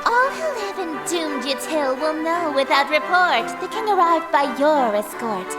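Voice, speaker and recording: A woman's voice: sits high, big pitch swings, measured pace, music in the background. A woman voicing a haughty, theatrical eldritch goddess girl in a game, coy and menacing with pompous, archaic flourishes. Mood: grandly ceremonial. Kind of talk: scripted visual novel voice acting.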